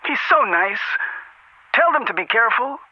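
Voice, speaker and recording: Fretful older woman on a dull phone line, voiced by a man, high and swooping. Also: big pitch swings, normal speed, no room sound. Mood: worried. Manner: anxious, pleading. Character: worried older woman, an old family neighbor. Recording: muffled telephone line sound.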